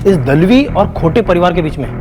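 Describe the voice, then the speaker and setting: A shrewd informant in a crime serial. His voice is a little high for a man, pointed yet deferential, with clear music behind it. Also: animated, a little fast. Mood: insinuating. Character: shrewd police informant reporting to his bosses. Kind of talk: TV crime drama acting.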